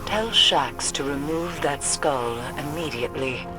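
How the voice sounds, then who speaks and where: Low, stern, brooding mystic. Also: female voice, expressive, a little slow. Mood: grave, ominous. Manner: clipped, scornful, ominous. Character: stern, brooding mystic woman, contemptuous and grave. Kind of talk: video game character acting. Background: music behind the voice.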